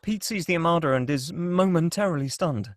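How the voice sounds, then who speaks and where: A man's voice: on the high side, a little fast, a little hiss or hum. A man narrating screenplay stage directions in a scripted table-read style.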